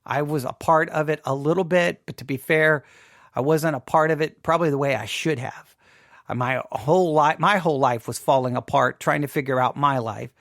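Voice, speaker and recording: A man's voice: mid-range voice, fairly bright tone, dry recording, clean studio sound. A man hosting a podcast, talking informally about personal matters in an emphatic way, often repeating phrases for emphasis. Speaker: podcast host. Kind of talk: informal talk and personal commentary.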